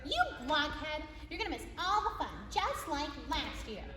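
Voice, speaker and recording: A woman's voice: sits high, sing-song, a little slow. A woman doing a bossy, scornful little girl. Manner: scornful, scolding. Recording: echoey room, voice from far off.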